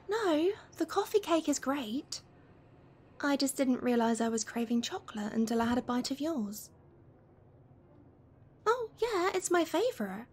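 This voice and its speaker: A woman playing a playful, affectionate young best friend in a gentle, teasing ASMR roleplay. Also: high-pitched, slight background noise. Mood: warm, enthusiastic. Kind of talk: gentle ASMR roleplay, one-sided conversation.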